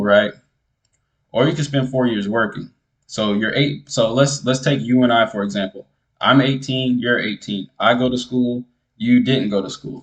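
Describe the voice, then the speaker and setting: A man with a fairly low voice, talking straight to camera in a relaxed vlog. He is earnest and keeps circling back to the same point. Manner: earnest, repetitive, speaks straight to the viewer. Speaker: music producer giving advice. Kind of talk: casual advice vlog.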